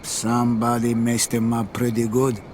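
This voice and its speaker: A man playing a weary, moralizing underworld doctor in a video game cutscene, measured and grave. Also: medium pitch, monotone, darkish tone, slight background noise, natural voice. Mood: polite, concerned.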